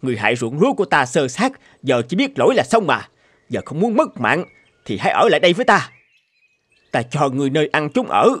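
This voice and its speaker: An actor playing an old rice farmer in an animated folk tale, with emphatic, drawn-out delivery. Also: male voice, fairly high pitch, breathy edge, no echo, heavily acted. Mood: stern, gloating. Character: stern old rice farmer who turns remorseful. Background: music behind the voice.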